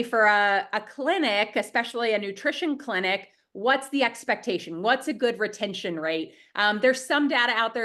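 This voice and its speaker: A woman's voice: medium pitch, sharp tone. A woman with a head cold, sounding a little sniffly, talking enthusiastically and openly as a dietitian guest on a video call. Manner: enthusiastic, open and talkative. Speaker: dietitian running private practices, guest. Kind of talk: interview answers over video call.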